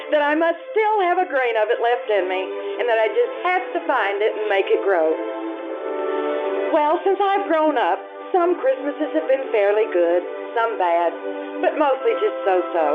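A woman's voice: a little high, phone-quality sound. An actress playing a harried, wistful mother and housewife in old-time radio drama style, telling her story expressively. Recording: thin radio-like sound with music.

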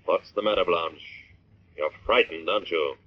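Creepy invisible avenger, really low and slow, taunting, old muffled radio show. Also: male voice, breathy edge. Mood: sinister taunting. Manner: taunting, menacing, deliberate questioning. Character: unseen male avenger, sinister, taunting, omnipresent voice of justice. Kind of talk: 1940s radio drama acting. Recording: muffled vintage radio recording.

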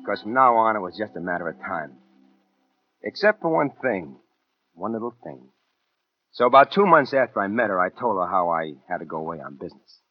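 Brooding American radio killer, swinging pitch. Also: male voice, sing-song, a little fast. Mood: brooding narration. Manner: brooding first-person narration with hesitant, clipped dialogue. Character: cold, self-justifying male killer narrating his crimes. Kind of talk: 1940s radio crime drama acting. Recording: muffled 1940s radio broadcast, faint music.

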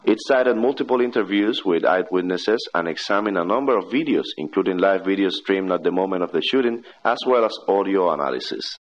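A man reads scripted radio news in a formal, measured manner. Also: sits low, expressive. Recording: dull, lo-fi shortwave radio broadcast.

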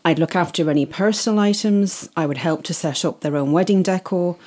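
A middle-aged woman with an Irish accent, a guest explaining her work in a casual podcast interview, steady and detailed. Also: on the low side, fairly quick. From Isle of Man. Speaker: guest, wedding PA.

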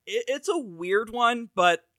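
A man's voice: very high-pitched, lively intonation, slow, a bit bright, no echo. A tired man co-hosting a podcast, chatting casually with dry, wry asides. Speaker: podcast co-host. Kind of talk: casual chat.

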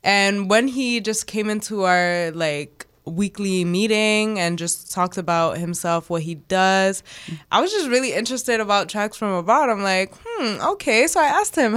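Young woman, student, casual chatty talk, mid pitch, lots of fillers. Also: moderate pace, smooth. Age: young adult. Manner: lively, quotes others with "I'm like", many "like" fillers. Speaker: student guest. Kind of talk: casual interview chat.

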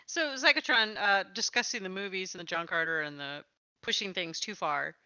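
A woman's voice: mid-range voice, moderate pace, sharp tone, smooth, no echo, muffled recording. A woman hosting a conversational interview about tabletop gaming. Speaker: interviewer, podcast host.

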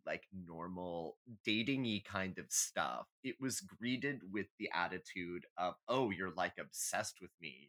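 Animated, bright-voiced man chatting sassily. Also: sits low. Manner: sassy, opinionated, freely swearing. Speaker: podcast co-host. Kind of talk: casual comedic astrology chat.

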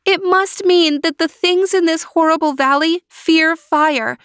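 A trapped young girl pleads in a high, urgent voice, as a woman puts her on for a storybook. Her words press forward with worry. The sound is close and dry. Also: measured pace, a bit bright, dry recording, exaggerated voice. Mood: pleading.

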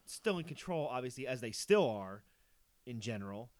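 A man's voice: mid-range voice, sing-song, normal speed, a little mellow, dry recording. A man of about forty, co-hosting a podcast, who rambles in a casual chat with frequent restarts and laughs between thoughts. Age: 40s. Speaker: podcast co-host.

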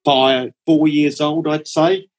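A man's voice: fairly high pitch, little pitch movement, slow. A man, a Dutch speaker, chatting casually as a guest painter in an interview, rambling and hesitant. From Australia. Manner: rambling, hesitant, often starts with "look".

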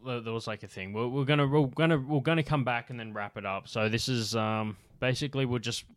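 A man's voice: medium pitch, a little fast, smooth, no room sound. A man in his twenties hosting a podcast, chatting casually, rambling with lots of fillers and swearing freely. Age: 20s. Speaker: podcast host. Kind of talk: casual chat.

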